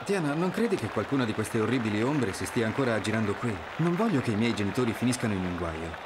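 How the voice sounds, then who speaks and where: A man playing an enthusiastic, devoted young prince in an animated game dub. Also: fairly low pitch, quick pace, noisy background. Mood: worried. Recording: crowd and street ambience behind.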